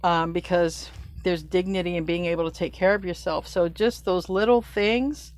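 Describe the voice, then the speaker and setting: A woman's voice: fairly low pitch, steady tone, moderate pace. A middle-aged woman gives a casual spiritual tarot reading. She talks loosely, corrects herself mid-sentence, and chats as if to a friend. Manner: chatty, loose, self-correcting, laughs at own slips. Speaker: tarot reader.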